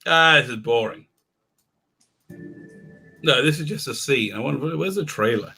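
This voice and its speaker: A man hosting a casual livestream, talking excitably and often stammering and repeating words. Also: mid-range voice, a little slow, gravelly, slightly rough, soft background music. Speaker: talk show host.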